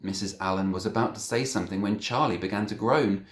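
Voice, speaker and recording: A man reading a children's novel aloud as an expressive narrator. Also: lowish voice, quick pace. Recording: echoey room.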